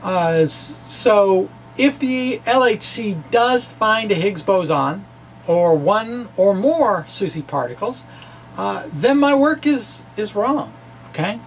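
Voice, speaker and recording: Casting: science presenter, mid-pitched voice, explanatory and conversational reading, slightly noisy recording with a hum. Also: lively intonation, slow. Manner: conversational, explanatory, casual asides. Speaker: amateur physicist presenting. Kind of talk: dramatic reading of a science talk.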